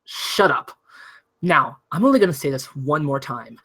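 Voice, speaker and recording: A woman's voice: low voice, expressive, moderate pace, fairly bright tone, husky, exaggerated voice. A woman voicing a stern, indignant judge losing all patience in a comedy script table read. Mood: exasperated. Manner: indignant, scolding.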